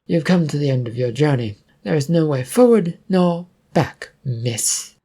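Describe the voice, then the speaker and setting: Storybook figure: menacing bodiless voice, insistent and ominous, dark and husky, voiced by a man. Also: a little high, animated, unhurried, full character voice. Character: disembodied menacing voice trapping travelers.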